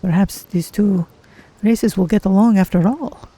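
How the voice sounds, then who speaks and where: Woman as a mellow, curious cat-person adventurer. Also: mid-range voice, a little slow, breathy edge. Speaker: game streamer. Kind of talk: in-character gameplay commentary.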